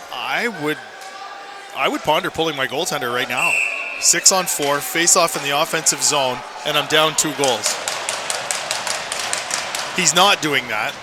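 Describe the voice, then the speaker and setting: A man giving opinionated, emphatic color commentary on a live hockey radio broadcast. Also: a little high. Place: indoor ice rink. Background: loud rink ambience and noise.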